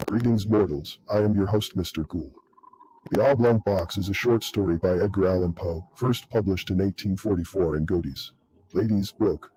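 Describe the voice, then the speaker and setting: A man's voice: low voice, normal speed, dark tone, husky, poor audio. A man playing a spooky horror show host, giving a formal, theatrical scripted radio introduction. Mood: ominous, formal. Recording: faint background noise.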